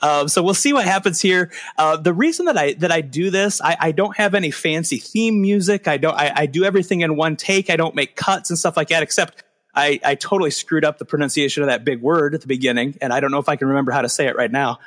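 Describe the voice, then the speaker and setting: A man's voice: a little high, fast, a bit bright. A man hosting a casual podcast, talking in a rambling, self-deprecating way with lots of fillers and restarts. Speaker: podcast host.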